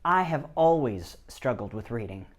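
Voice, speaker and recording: A man presenting a scripted educational video in the first person, with an expressive, explanatory delivery. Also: mid-range voice, normal speed. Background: background music.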